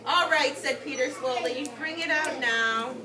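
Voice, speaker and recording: Female early-years teacher, high voice, expressive storybook read-aloud with simple explanations, picked up from afar in an echoey classroom. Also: a little slow. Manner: expressive, explanatory, addressing small children. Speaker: teacher reading to young children. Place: indoor classroom with carpet. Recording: echoey room, distant mic, loud children's chatter. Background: loud chatter of young children.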